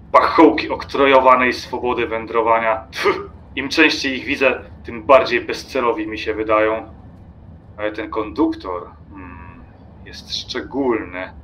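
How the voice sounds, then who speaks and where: An actor playing an irritable, disdainful lone train traveller in a radio play, haughty and sardonic in manner. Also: male voice, medium pitch, a bit bright, lightly acted. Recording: faint ambient noise behind.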